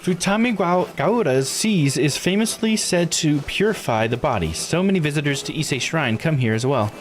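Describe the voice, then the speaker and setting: A man voicing a bright, courteous local guide from a visual novel, reciting lore with polite enthusiasm. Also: on the high side, lively intonation, normal speed, slight background noise, pitched above the performer's own voice, close to an everyday voice. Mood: enthusiastic, admiring. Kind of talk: visual novel character read aloud. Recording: game music under the voice.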